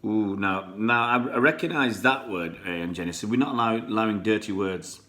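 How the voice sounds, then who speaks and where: A deep, low-pitched man talks casually to his live-stream viewers. He is middle-aged, chatty and a bit rambling, and he greets people by name as he reads their comments. The chat is loose and unhurried. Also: fairly bright tone. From born in Pakistan, raised in England. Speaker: fan channel live streamer.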